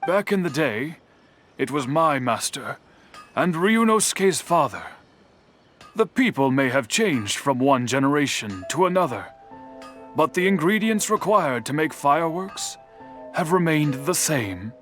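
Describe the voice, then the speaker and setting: Game role: stern older craftsman, deliberate and courteous, animated contours, faint music behind. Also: fairly high pitch. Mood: calm, formal. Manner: formal, deliberate, admonishing. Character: stern, measured, kindly older master craftsman. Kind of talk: anime-style video game voice acting.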